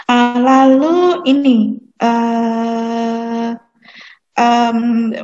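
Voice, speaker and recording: A woman with an Indonesian accent explains things as a university lecturer. Her voice sits fairly high and she takes her time, pausing often and choosing words deliberately. The sound is dull and lo-fi, like an online class. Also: fairly even intonation, a little mellow, faint music behind. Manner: hesitant, deliberate, switching between English and Indonesian. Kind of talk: lecture answering a student's question, casual and explanatory.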